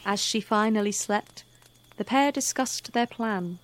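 A woman's voice: medium pitch, a little slow, a little hiss or hum, poor audio. Woman narrating a storybook fantasy tale.

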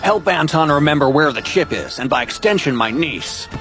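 An actor playing a menacing uncle giving threatening orders in an audio drama. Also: male voice, on the high side, lively intonation, normal speed, over music. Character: menacing uncle, a man ordering his thugs.

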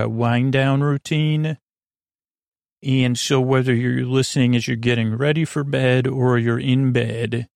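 A man with a gravelly voice hosting a sleep podcast in a rambling, goofy monologue, halting and interrupting himself as he wanders off on digressions. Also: medium pitch, no room sound, clean studio sound. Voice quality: creaky, gravelly. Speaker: podcast host.

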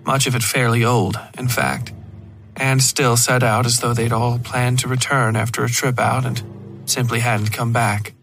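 A man narrates a dark horror story in the first person. His voice sits at a middle pitch, with faint music underneath. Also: little pitch movement, normal speed.

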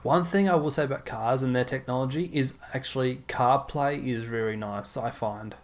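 A man's voice: medium pitch, moderate pace. A man chatting casually as a podcast co-host, with an easygoing, agreeable way of talking. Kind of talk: casual chat.

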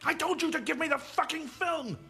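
Menacing armed man in a narrated war memoir, performed by a male reader, roaring, high and sharp. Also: brisk, vocal fry, soft background music, exaggerated voice. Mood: enraged. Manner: roaring, menacing, spitting out demands. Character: enraged screaming gunman with a rifle.

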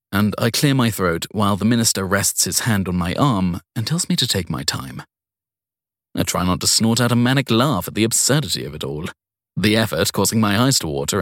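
A man narrating a first-person crime story as an audiobook, in an expressive storytelling manner. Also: low voice, breathy edge, no echo, clean studio sound.

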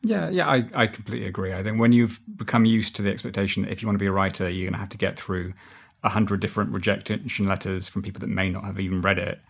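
A man's voice: lowish voice, quick pace, vocal fry. A man being interviewed as a podcast writer, talking conversationally and acting out little quoted reactions as he explains.

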